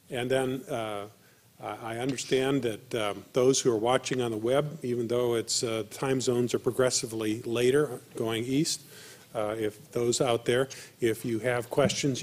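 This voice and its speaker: A man's voice: mid-range voice, a little mellow. Older man moderating at a podium. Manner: hesitant, full of fillers, courteous. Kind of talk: moderating a panel. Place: conference auditorium podium. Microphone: podium mic.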